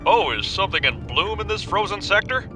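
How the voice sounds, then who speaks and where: A man's voice: high voice, very animated, brisk, music in the background. An actor playing a wisecracking, suspicious outpost crewman in a game audio log, with a sardonic manner. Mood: teasing.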